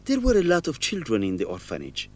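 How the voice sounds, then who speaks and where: A guilt-ridden young man in a radio drama. His delivery is earnest and halting, with a mid-range pitch that swings widely as he confesses. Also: wildly swooping pitch, a little mellow. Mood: ashamed confessing. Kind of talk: educational radio drama acting.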